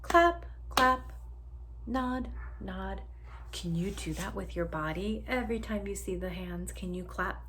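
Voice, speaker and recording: A woman teacher reading a picture book aloud to young children in an animated way, drawing out sound words and inviting them to join in, with some background noise. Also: mid-range voice, a little slow.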